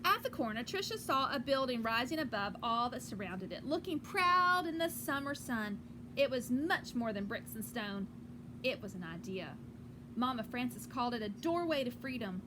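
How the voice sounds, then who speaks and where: A woman teacher reading a picture book aloud to children outdoors on a porch, with gentle, encouraging asides. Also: fairly high pitch, very animated, normal speed. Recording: clearly noisy outdoor ambience.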